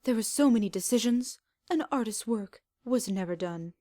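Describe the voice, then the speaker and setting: A woman reading fan fiction aloud as an expressive scripted narration. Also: a little high, normal speed, no room sound, studio quality.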